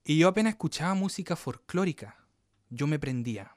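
A man's voice: a little high, lively intonation, brisk, dry recording, studio quality. A man in his thirties from Australia with Chilean parents, a dancer telling his story as an interview guest, often checking in with "¿me entiendes?". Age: 30s. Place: Melbourne.

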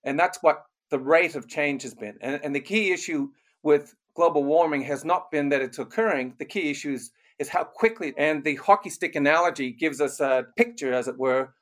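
An older man raised in Canada, an author and criminologist, giving measured, explanatory interview answers. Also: fairly high pitch, a bit bright.

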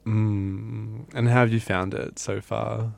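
A man interviewing a guest on radio, asking gentle questions and affirming her with soft "mm"s. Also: lowish voice, flat delivery, slow, gravelly.

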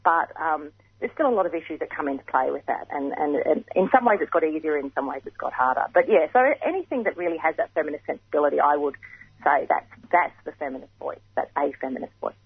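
A woman with a gravelly morning voice, a writer interviewed on radio, thoughtful and repeating words as she thinks. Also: low-pitched, expressive, fast talker, dry recording, phone-quality sound. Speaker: guest writer.

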